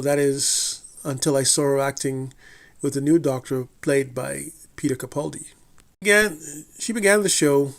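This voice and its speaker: Measured man reading a TV review. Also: on the high side. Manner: measured, deliberate delivery with occasional slips and restarts. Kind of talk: scripted review read aloud.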